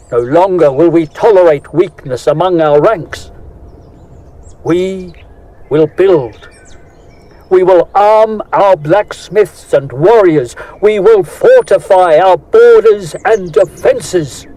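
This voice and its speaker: A man's voice: high-pitched, dark tone. A man playing a proud, hardline new king in an audio drama, giving a solemn, declamatory oath and speech.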